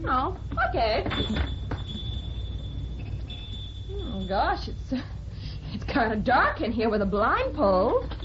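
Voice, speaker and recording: Brash high-pitched American dame, old radio. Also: female voice, sing-song, a little slow. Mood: uneasy. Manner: slangy, forward, talkative. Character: brash, chatty young American divorcee tourist. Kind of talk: old-time radio drama acting. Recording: noisy, dull old radio recording.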